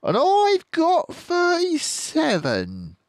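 A cruel, cunning farmer barks his threats in a high, strained voice, as a man puts him on, drawing out every word in loud sneers. He swings his pitch wildly, and the storybook voice sounds dry and close. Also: wildly swooping pitch, no room sound, heavily acted. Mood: menacing. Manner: loud, sneering, drawn-out menace. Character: scheming thin male farmer, cruel and cunning. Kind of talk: storybook reading.

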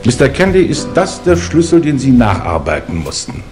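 An actor in a German crime radio play, playing a methodical chief inspector with a formal, measured manner. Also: male voice, medium pitch, huge pitch swings, over music. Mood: businesslike.

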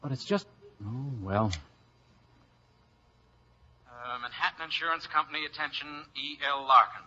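An actor playing an affable, glib American detective with an easygoing, wry manner in old radio drama style. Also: male voice, mid-range voice, normal speed, pushed and bright. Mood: nervous, evasive. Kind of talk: 1940s-50s radio acting. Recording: dull old radio recording.

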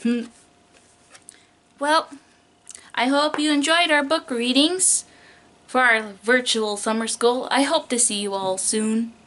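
A woman's voice: fairly high pitch, slow pace, pauses often, a bit bright. A young adult woman, a preschool teacher doing a picture book read-aloud in an exaggerated, child-directed way, stretching key words.

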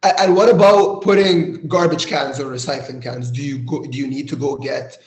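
A man from Egypt hosting a casual interview, phrasing things in a thoughtful, halting way. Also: mid-range voice, fairly even intonation, darkish tone. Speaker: interviewer, host. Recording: roomy, echoey sound.

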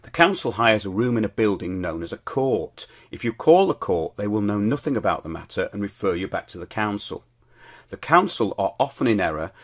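A middle-aged British man presenting his show as host, reading scripted commentary in a measured, declarative way. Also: lowish voice, breathy edge.

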